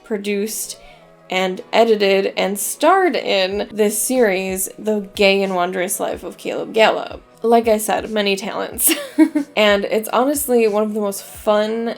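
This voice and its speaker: Chatty female video host, gravelly fry, self-deprecating, soft music under her voice. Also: mid-range voice, measured pace. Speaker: YouTube host. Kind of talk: commentary video narration.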